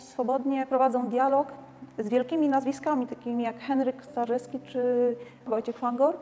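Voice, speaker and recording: A woman presents an exhibition report and interviews an artist in a measured manner, with occasional hesitations. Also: on the high side, dark tone. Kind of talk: gallery report and interview questions. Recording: long hall reverb, faint music.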